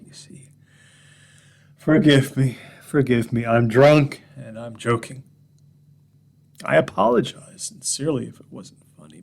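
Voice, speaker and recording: A man reading a horror story in the voice of an unhinged drunk man confessing to strangers, husky and often whispering, veering from hushed murmurs to sharp mocking rises. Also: medium pitch, heavily acted. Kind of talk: first-person horror monologue reading.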